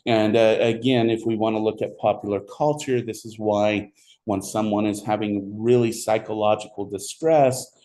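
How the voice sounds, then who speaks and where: A man lecturing an introductory psychology class, his voice a bit squeaky today though low pitched. He talks in a loose, rambling way, with fillers and restarts as he works through ideas. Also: steady tone, normal speed, warm tone. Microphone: recorded for online video.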